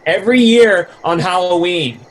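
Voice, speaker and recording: A man's voice: high voice, moderate pace, natural voice, poor audio. A man playing a laid-back, teasing young stoner in a dramatic reading, rambling in casual slang. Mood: excited, amused.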